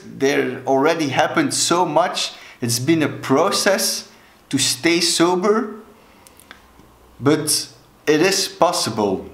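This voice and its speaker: Slow-talking Belgian man, Dutch accent. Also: fairly high pitch, fairly bright tone. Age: 30s. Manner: slow, deliberate, gestures with both hands. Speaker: alcohol coach. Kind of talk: earnest talk to camera. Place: small room indoors, plain wall. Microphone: camera mic, head and shoulders. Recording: echoey room.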